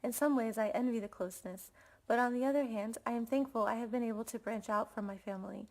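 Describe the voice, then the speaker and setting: A woman's voice: on the high side, fast talker, darkish tone. A woman with an American accent reading a scripted personal reflection as a student presenter. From United States.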